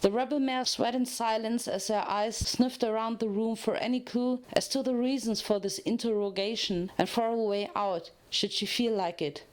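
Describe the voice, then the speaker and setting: A woman's voice: on the high side, darkish tone. A woman narrating a story as a dramatic reading.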